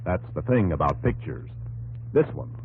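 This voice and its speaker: A man's voice: low voice, big pitch swings, normal speed, vocal fry, slight background noise. An American man narrating a 1950s radio documentary-drama in an earnest, dramatic yet conversational manner. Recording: vintage radio broadcast, narrow band, loud music.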